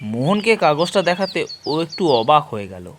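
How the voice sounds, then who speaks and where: A man tells a horror story in a fairly high voice, with wide swings of pitch. His delivery is slow and dramatic, shaped by deliberate pauses, over a noisy track with clicks and chirping. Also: sing-song. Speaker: narrator of an audio horror story series. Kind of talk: dramatic first-person story narration in Bengali.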